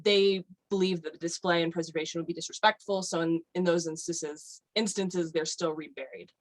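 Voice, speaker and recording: A woman explains a subject on a podcast, slightly low in pitch. She rambles with plenty of fillers and restarts. Her voice sits dry and close with no room around it. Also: fairly even intonation, a little fast, no echo, rough recording. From United States. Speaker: guest. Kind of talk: casual podcast chat.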